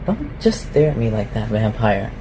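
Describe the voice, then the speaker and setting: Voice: mid-range voice, very animated, normal speed, background noise. A performer in an ASMR roleplay voicing an ancient, reclusive shapeshifter, haughty and awkward, with formal, archaic phrasing. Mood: impatient, grudging. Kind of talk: ASMR roleplay, scripted one-sided dialogue.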